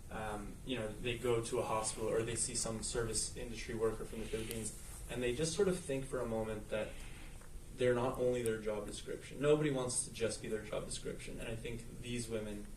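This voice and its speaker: A man's voice: fairly low pitch, darkish tone, slight background noise. A man from the United States, a film director, answering questions in a festival Q&A in a thoughtful, earnest way with fillers. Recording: echoey room, mic at a distance.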